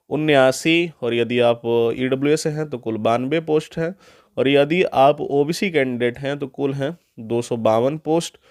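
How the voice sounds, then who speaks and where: A man gives an instructional explainer in a mid-pitched voice. He addresses viewers directly and repeats key details in other words. The sound is dry, with little room on it. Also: a little mellow, no room sound. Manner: repeats and restates key points, often in Hindi and English. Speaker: presenter of an exam guidance channel.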